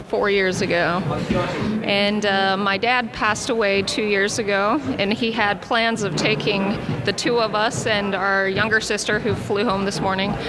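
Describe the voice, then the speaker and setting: A young woman from Utah answers interview questions earnestly, pausing with many ums. Her voice is mid-pitched, and a busy crowd murmurs behind her in the ski lodge bar. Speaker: ski instructor guest.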